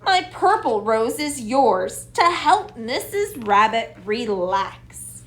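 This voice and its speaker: Woman playing excitable high-pitched little girl Rosie. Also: slow, exaggerated voice. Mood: earnest. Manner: exclamatory, dramatic.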